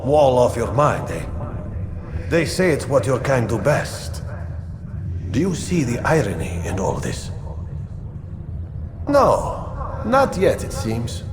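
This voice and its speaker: An actor playing a zealous, self-righteous slaver lord in a video game, grandiose and scornful. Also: male voice, medium pitch, very animated, slow pace, long pauses, noisy background. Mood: dying, defiant. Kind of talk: dramatic video game acting.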